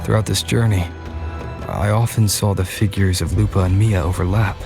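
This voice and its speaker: An actor playing a calm, thoughtful wandering hero in a video game, with a measured, reassuring manner. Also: male voice, deep voice, vocal fry. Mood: pensive. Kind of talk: scripted game dialogue. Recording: studio voice under prominent game music.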